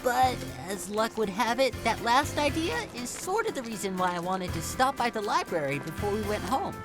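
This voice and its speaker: A woman voicing a gentle, shy young bluebird in a cartoon comedy, good-natured and a little hesitant. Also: a little high, expressive, moderate pace, lightly acted. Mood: amused, fond. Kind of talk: animated visual novel comedy dialogue. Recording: music behind the voice.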